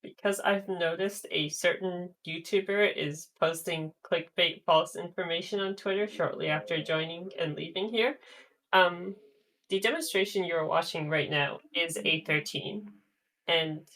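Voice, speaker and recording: A woman chatting casually about devices on a live voice call, full of fillers and quick laughs. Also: fairly low pitch, a bit bright, poor audio. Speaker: jailbreak developer on a stream. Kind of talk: casual tech chat.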